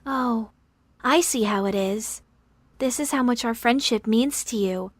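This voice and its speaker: A woman's voice: a little high, measured pace, no echo. A woman acting a bubbly, theatrical young bride-to-be and best friend in an audio drama, with a wheedling manner. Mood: guilt-tripping.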